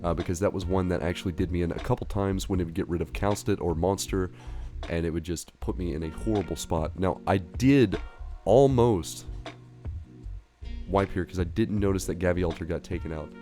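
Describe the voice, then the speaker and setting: A man giving casual, rambling gameplay commentary as a voice-over, his voice nasal and a little hoarse from a recent illness, with frequent fillers. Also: deep voice, normal speed, mellow. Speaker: gaming channel host. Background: guitar music.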